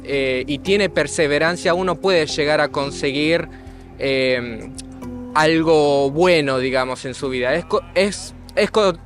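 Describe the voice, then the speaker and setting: He is a man offering a casual opinion in an interview. The voice is a bit high and the delivery very slow and halting. Faint music plays beneath his tentative answer. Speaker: street interviewee. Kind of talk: casual vox pop answer.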